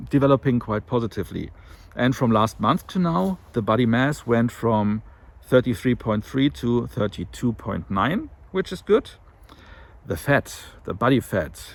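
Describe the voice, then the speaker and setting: A man's voice: medium pitch, vocal fry. Nasal man in casual vlog chat. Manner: rambling, many fillers and self-corrections. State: slightly nasal from allergy. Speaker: weight loss vlogger. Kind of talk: casual monthly vlog talk.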